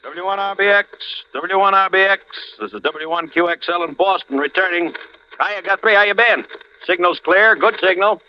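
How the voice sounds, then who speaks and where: A man's voice: high voice, moderate pace, close to an everyday voice. A man playing a jumpy, slangy laboratory researcher talking over ham radio in a 1940s radio thriller. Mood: breezy, chatty. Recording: noisy, muffled old radio recording.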